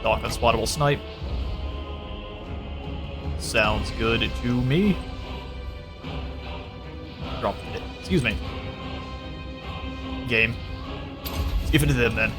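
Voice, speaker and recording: A man's voice: mid-range voice, takes it slowly, long pauses, music in the background. A man casually commenting on his own strategy game battle, thinking aloud as he gives orders to his units. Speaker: gaming channel host.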